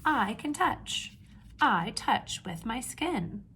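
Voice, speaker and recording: Slow-paced woman reading aloud to young children. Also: on the high side, very animated, a bit bright, slight background noise. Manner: instructive, asks simple questions and pauses before confirming answers. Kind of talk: children's read-aloud with questions to the listener.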